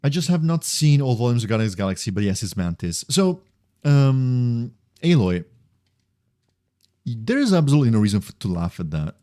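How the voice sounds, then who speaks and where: A man's voice: medium pitch, big pitch swings, moderate pace, warm tone, no room sound, clean studio sound. A man chatting casually on a game livestream, thinking aloud in a rambling, repetitive way. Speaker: game streamer. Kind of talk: casual livestream chat while gaming.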